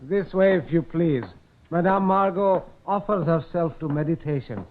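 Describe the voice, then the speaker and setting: Actor as high-voiced solemn mystic's servant. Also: male voice, normal speed, natural voice. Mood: solemn, ceremonious. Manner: formal, ceremonious, ominous. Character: solemn, servile male attendant of a phony mystic. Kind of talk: old-time radio drama acting. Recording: noisy, tinny old radio recording.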